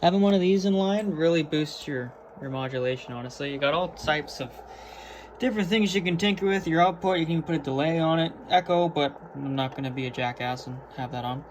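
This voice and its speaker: Higher-voiced casual man, noisy recording. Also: a little fast. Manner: informal, matter-of-fact, slangy. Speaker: hobbyist presenter. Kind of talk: casual gear tour, unscripted explanation. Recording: clearly noisy amateur video recording.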